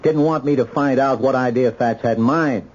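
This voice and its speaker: An American actor in a radio mystery playing a measured, skeptical police lieutenant who talks in a hesitant, conversational way. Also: male voice, mid-range voice, darkish tone, close to an everyday voice. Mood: intent, probing. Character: measured, skeptical male police ballistics lieutenant, helpful underneath. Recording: dull, noisy old radio broadcast.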